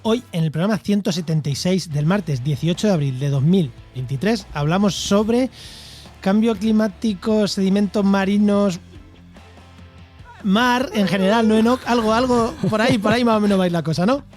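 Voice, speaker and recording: A man's voice: high-pitched, normal speed, darkish tone, faint music behind. A man from La Mancha with an accent from Spain, co-hosting a podcast in a chatty, joking, informal way. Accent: Spanish from Spain. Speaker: podcast co-host and interviewer. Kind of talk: casual podcast chat.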